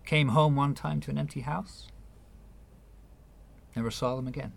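An actor in a radio play voicing a guarded, wounded New York man with a measured, wry delivery. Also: medium pitch, fairly even intonation, creaky. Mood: somber, restrained.

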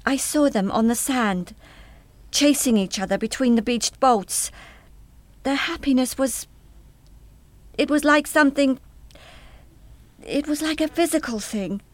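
An actress playing a wistful, hesitant German woman in a radio play, speaking in a reflective, halting way. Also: high-pitched, dry recording. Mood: troubled, wistful. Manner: reflective, halting, trailing into reverie.

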